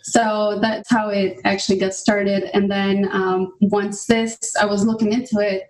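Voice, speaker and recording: A woman's voice: medium pitch, flat delivery, a little slow. A woman from Albania, chatting casually as a podcast guest with fillers and easy laughter. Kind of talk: casual interview chat. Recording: roomy, distant mic.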